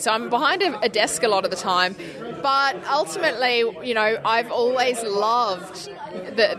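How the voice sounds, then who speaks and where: An Australian woman with a fairly high voice talks casually as an interview guest. She is thoughtful and articulate, and a busy crowd chatters clearly behind her. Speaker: interview guest, clinical trials health professional.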